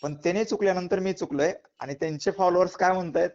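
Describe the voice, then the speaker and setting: A man's voice: high-pitched, quick pace, a little mellow, soft background music. A man from Latur with a Marathwada Marathi accent, giving his side of a dispute emphatically in a recorded phone interview, often repeating phrases. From Latur, Maharashtra, India. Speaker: interviewee, kirtan performer. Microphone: phone call. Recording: muffled lo-fi phone call recording.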